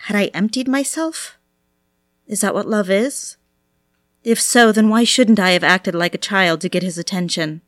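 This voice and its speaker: An actress playing a desperate, obsessive mistress in an audio drama, with a needy, confessional manner. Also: female voice, medium pitch, normal speed, natural voice. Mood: wistful confession. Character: desperate, obsessive mistress pleading for her lover's attention.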